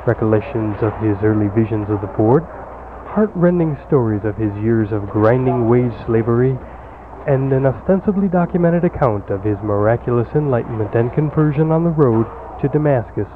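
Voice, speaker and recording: Man as an earnest radio pitchman, tinny noisy recording. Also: normal speed, natural voice. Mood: earnest, promotional. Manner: formal, polished salesman delivery. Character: earnest church deacon announcer pitching reverend's merchandise. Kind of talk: scripted mock radio advertisement.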